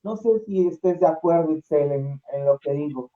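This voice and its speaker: Male panel guest, southern Mexican accent, slightly high voice, halting casual delivery, lo-fi online audio. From Isthmus of Tehuantepec, Oaxaca, Mexico. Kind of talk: informal panel discussion. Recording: dull lo-fi video call audio.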